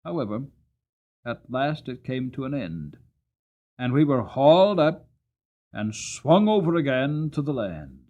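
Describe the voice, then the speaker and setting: Guy reading as an old cavalry horse in a storybook, earnest n proud, tells war tales dramatically, medium pitch. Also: very animated, measured pace, pauses often, darkish tone, lo-fi sound. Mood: relieved. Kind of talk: dramatic audiobook character reading.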